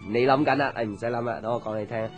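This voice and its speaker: A man's voice: medium pitch, lightly acted. An actor playing a chatty, boyish young man with a Hong Kong Cantonese accent, telling stories playfully and confidingly in a radio drama. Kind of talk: radio drama acting, casual storytelling chat.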